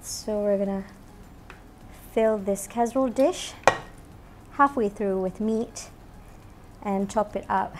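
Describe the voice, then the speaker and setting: A woman's voice: mid-range voice, slow, long pauses, noisy background. A woman in her thirties, a chef hosting a cooking show, chatting upbeat to camera with plenty of "you know" as she cooks, wearing a lapel mic in a kitchen studio. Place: home-style kitchen studio, indoors.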